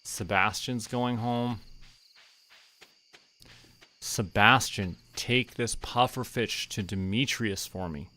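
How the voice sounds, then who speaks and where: A man giving relaxed game stream commentary, casually thinking aloud and talking to himself and the characters. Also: on the low side, takes it slowly, long pauses. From Canada.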